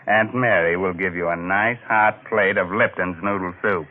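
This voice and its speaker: A man hosts a vintage radio mystery with theatrical, tongue-in-cheek menace. His voice is low and measured with pauses, on a band-limited old recording. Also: fairly even intonation, narrow, tinny audio, a little hiss or hum. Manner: mock-sinister, theatrical, droll, deliberate pauses. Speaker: mystery show host and narrator. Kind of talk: 1940s radio horror hosting, scripted narration.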